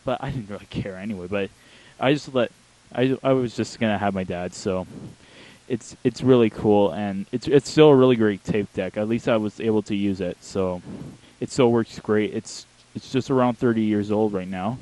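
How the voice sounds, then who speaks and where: Low, mellow young man. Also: rough recording. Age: young adult. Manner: halting, self-correcting delivery. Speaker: talk show host. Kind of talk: casual rambling vlog. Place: small home bedroom, indoors. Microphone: handheld wireless microphone held close to the mouth.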